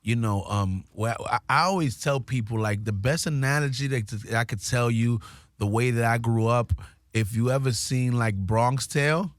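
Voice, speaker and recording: A man's voice: medium pitch, normal speed, no echo. New guy, easygoing storyteller, lotta you-knows, dry close sound. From New York, USA. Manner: laid-back, many "you know" and "like" fillers. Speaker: guest, business founder. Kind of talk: casual storytelling. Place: studio.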